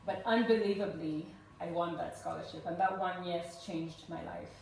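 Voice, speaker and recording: A woman's voice: lowish voice, fairly even intonation, moderate pace, vocal fry, a little mellow, far from the mic, a little hiss or hum. A middle-aged woman from Uganda tells her personal story to guests at an evening event, earnestly, with many ums. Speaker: speaker at a fundraising evening. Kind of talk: personal story told to a live audience. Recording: very echoey room, voice from afar.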